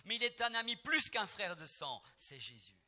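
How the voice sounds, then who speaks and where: Emphatic preaching man, very bright voice. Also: high voice, big pitch swings, moderate pace. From Portugal. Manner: emphatic, rising to near-singing on key phrases; soft and reflective when telling family memories. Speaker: gospel singer and preacher. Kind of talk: preaching between songs at a concert.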